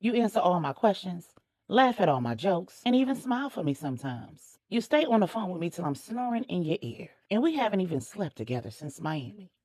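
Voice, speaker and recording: Woman voicing a feisty, lively young woman in love. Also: on the low side, fairly quick, no room sound. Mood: insistent, affectionate. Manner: feisty, demanding, playful. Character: sassy, bold young woman, college student in love.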